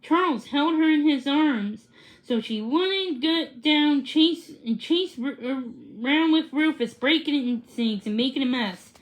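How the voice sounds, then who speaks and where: A very high-voiced female reads a children's book aloud at a slow pace. Her delivery is halting, with words repeated and corrected, yet she gives the dialogue expression. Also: rough recording. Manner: halting, stumbles and rereads words. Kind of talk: read-aloud storytelling.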